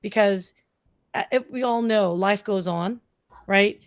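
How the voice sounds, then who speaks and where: A woman's voice: medium pitch, slow. A middle-aged woman speaking casually as a podcast guest, enthusiastic, emphatic and chatty, often checking in with the listener. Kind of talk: casual conversational interview.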